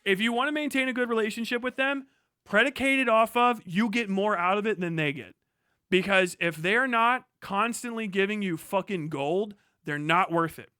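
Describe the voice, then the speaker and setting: Bright, high-pitched man, blunt streamer. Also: moderate pace, no room sound, studio quality. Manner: blunt, profane, emphatic. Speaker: live streamer commenting on local news. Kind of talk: casual opinionated livestream commentary, reading news aloud.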